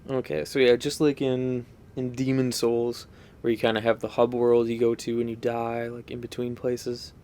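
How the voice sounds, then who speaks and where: A man's voice: medium pitch, little pitch movement, moderate pace, a little mellow, clear, resonant tone. A man giving casual, rambling, unscripted commentary while playing a video game. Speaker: gaming video host.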